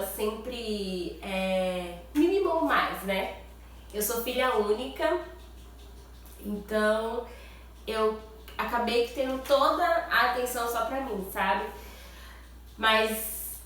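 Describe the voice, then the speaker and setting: A woman's voice: fairly high pitch, slight background noise. A Brazilian woman vlogger with a nasal, stuffy voice from a cold, chatting casually to her viewers and often adding little tag questions. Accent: Brazilian Portuguese. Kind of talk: casual chat to camera. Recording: very echoey room, far from mic.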